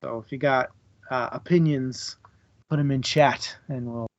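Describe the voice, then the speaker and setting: A man co-hosting a book club livestream, chatting casually and jokily with his audience in an informal, halting way. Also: mid-range voice, fairly even intonation, no room sound, lo-fi sound. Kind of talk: casual chat to a live audience.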